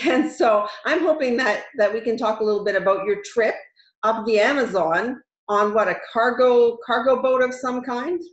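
A woman in her fifties hosts an interview over a video call, upbeat and quick to laugh. Her voice sits somewhat high, and the room sounds echoey through dull call audio. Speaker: host. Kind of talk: casual interview. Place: home room.

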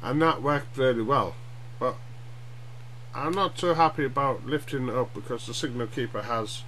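A middle-aged man giving an informal, halting hobby demonstration at home, often checking in with short tag questions. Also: on the low side, fairly bright tone. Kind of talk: informal explainer vlog. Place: small cluttered room at home, indoors. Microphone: webcam at arm's length. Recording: noisy webcam audio with hum.

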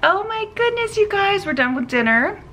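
A woman's voice: extremely high pitch, very animated, normal speed, sharp tone. A woman in her thirties chatting playfully to her viewers on a handheld camera, holding forth about dinner. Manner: playful, bubbly, addresses viewers directly. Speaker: vlogger. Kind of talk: casual vlog chat to camera. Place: busy restaurant, indoors. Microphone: handheld camera at arm's length. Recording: restaurant noise behind. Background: music and crowd chatter.

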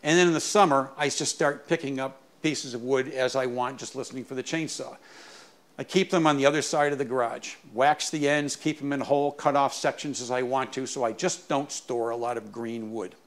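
A man giving an informal, explanatory tour of his small garage workshop as a woodturner, haltingly repeating words as he explains. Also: medium pitch, fairly even intonation.

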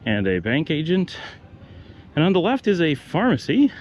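A man's voice: on the high side, moderate pace, a little hiss or hum. Middle-aged man narrating a street walk. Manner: relaxed, pointing things out as he walks. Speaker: vlogger giving a walking tour. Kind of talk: casual walking-tour commentary. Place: outdoors on neighborhood streets.

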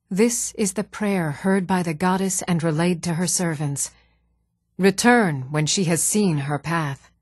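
A serene priestess from a fantasy video game. She speaks in a somewhat low register, with solemn, lofty and measured devotion. The sound is dry, with no room around it. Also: a little mellow, no room sound. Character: serene, devout priestess of a love goddess.

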